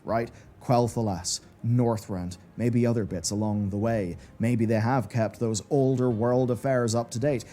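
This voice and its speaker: A man's voice: medium pitch, warm tone. A man delivering scripted commentary in the style of a video essay.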